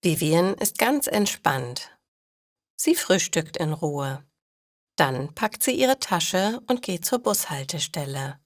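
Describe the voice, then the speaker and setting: A woman narrating scripted stories for language learners, with clear, measured phrasing. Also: fairly low pitch, sing-song, no echo, clean studio sound.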